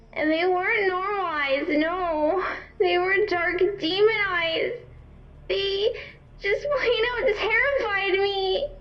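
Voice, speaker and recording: A woman playing a frightened young girl in an audio drama, telling her story in a halting, childlike, tearful way. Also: very high voice, lo-fi sound, slight background noise. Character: frightened young girl recounting a killer's attack.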